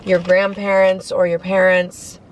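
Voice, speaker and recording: A young woman chatting casually in a parked car, talking fast and filling her talk with "like". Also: on the low side, level tone, slight background noise, rough recording. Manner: chatty, filler-heavy, lots of "like". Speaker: vlogger. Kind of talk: casual car mukbang chat. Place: parked car at a drive-through.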